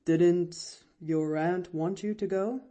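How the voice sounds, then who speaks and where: A woman voicing a kindly, grave country doctor, a man, with polite and gentle speech in an audiobook. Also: fairly low pitch, animated, a little slow. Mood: concerned. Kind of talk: audiobook character voice.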